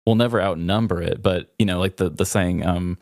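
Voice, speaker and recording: A man's voice: deep voice, steady tone, brisk, a little mellow, no room sound. A man co-hosting a podcast in casual chat, musing in a rambling, thoughtful way and slipping in dry jokes. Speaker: podcast co-host.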